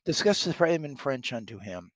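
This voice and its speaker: A man's voice: medium pitch, big pitch swings, normal speed, no room sound. Man reading blustering English soldier, pompous bully, Shakespearean declamation, dry recording. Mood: blustering, threatening. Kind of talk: Shakespeare play read-aloud.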